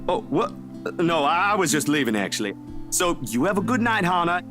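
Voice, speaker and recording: Flustered, friendly young male photographer for a visual novel; casual, stammering, very animated pitch, music loud underneath. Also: a little fast. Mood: sheepish, friendly.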